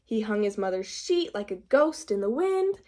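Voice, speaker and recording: A woman's voice: medium pitch, sing-song, normal speed, dark tone. A woman reading a storybook aloud to young children in a teacherly way, prompting them with questions.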